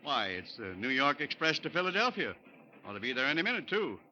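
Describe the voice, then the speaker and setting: Chuckling male train passenger, bright forceful voice, 1940s radio drama, dull old broadcast. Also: medium pitch, sing-song, brisk, slight background noise. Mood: amused.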